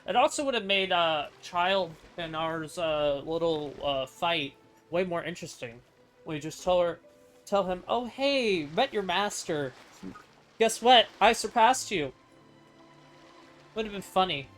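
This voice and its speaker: A man's voice: sits high, animated, unhurried, fairly bright tone. A man giving casual gameplay commentary, opinionated and thinking aloud as he plays. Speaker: gamer doing playthrough commentary. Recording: clear game music behind voice. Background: game music.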